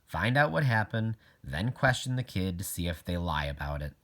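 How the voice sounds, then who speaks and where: A man's voice: sits low, big pitch swings, moderate pace, a bit bright. A man reading a children's novel aloud as a first-person narrator. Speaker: audiobook reader.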